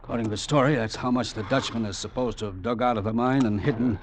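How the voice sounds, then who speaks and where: A man's voice: medium pitch, fairly even intonation, fast talker, close to an everyday voice. Man doing bossy shrewd crime boss, clipped, old radio drama, bit of hiss. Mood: cool, controlled. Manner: authoritative, clipped. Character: shrewd, commanding middle-aged crime boss. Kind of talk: 1940s-50s radio acting. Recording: old radio recording, faint noise.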